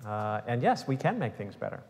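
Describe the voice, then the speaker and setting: A middle-aged man explains things steadily on a panel, leaning on "you know" as he goes. He sits on an auditorium stage with a lapel mic. His voice is mid-pitched and carries a long hall reverb. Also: sing-song, brisk, darkish tone. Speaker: tech company CEO and professor on panel. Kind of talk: panel discussion. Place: large auditorium stage, seated.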